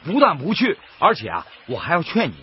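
Folksy Northeastern Chinese boatman, highly animated. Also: a little fast, a little hiss or hum. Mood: firm, earnest. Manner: folksy, earnest, plain-spoken. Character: kindly, principled boatman and bird protector. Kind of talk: radio drama acting. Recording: dull radio recording with loud music.

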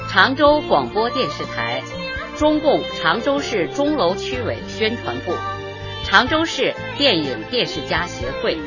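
A woman announcer formally reading scripted radio drama credits with careful enunciation. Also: medium pitch. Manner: formal, measured, clearly enunciated. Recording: dull recording, loud music bed. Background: music.

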